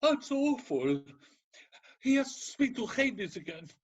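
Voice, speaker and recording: A man playing a gruff, macho Spartan ex-soldier turned counsellor in a radio play, husky, blunt and sardonic but secretly soft. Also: very high voice, very animated, a little slow, highs cut off, full character voice. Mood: upset, emotional.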